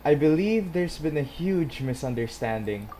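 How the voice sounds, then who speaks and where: A woman's voice: sits low, animated, normal speed, mellow, slight background noise. A woman giving casual let's-play commentary, reacting in short interjections. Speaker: gaming video host.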